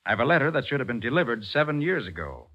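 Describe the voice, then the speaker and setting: An actor playing a brisk, authoritative private detective in a 1940s radio drama, crisp and commanding but polite. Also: male voice, medium pitch, very animated. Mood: polite, measured. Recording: muffled, hissy 1940s radio broadcast.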